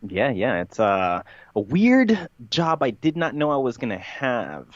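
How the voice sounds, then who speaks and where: A man telling his story as a podcast guest in a casual, matter-of-fact deadpan, with halting phrasing. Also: medium pitch. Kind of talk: casual interview storytelling, played straight. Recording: dull, slightly lo-fi podcast audio.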